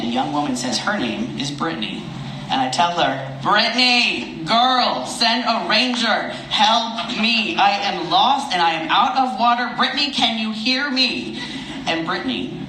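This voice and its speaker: A man reading a first-person comic story in a wry, expressive, self-deprecating way. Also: medium pitch. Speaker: reader of a story. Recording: echoey room with clear background noise.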